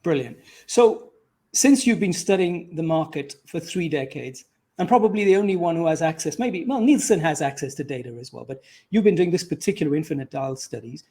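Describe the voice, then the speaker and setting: A man's voice: fairly high pitch, expressive, a little fast, dark tone. A man hosting an interview in a conversational, effusive manner. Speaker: interview host.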